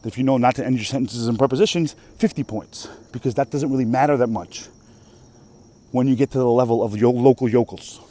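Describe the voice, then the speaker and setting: Man from Russia who speaks Russian natively, mid-pitched and mellow, delivering a profane, emphatic off-the-cuff rant. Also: brisk. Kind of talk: casual rant monologue.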